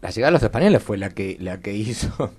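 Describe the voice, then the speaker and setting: A man's voice: on the low side, lively intonation, fast, darkish tone, husky. A man with an Argentine Rioplatense accent chatting casually as a panelist on a radio show. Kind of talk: casual conversation. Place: radio studio.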